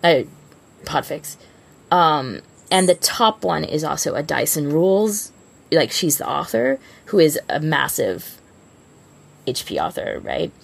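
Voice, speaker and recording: A woman co-hosts a podcast in a casual, conversational style. Her voice sits low and is strongly creaky, with a husky grain throughout. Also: animated, a little slow. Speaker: podcast co-host. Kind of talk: casual chat.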